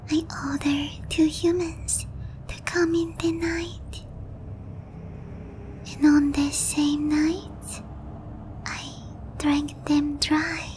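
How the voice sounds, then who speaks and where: A woman playing an obsessive young vampire princess in a slow, cooing, breathy half-whisper for an ASMR roleplay, sweetly menacing and possessive. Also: very high-pitched, a little mellow, a little hiss or hum, full character voice. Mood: obsessive, sinister. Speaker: roleplay voice actress.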